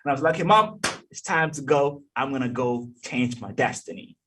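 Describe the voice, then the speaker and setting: A man's voice: medium pitch, moderate pace, distant mic, noisy background. A man in his thirties from Togo, a guest telling his life story in an interview with emphatic repeats and checks like "okay?", on a video call from an indoor room. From Togo, West Africa. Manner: animated, emphatic storyteller who repeats words and says "okay?". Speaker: guest, youth advocate.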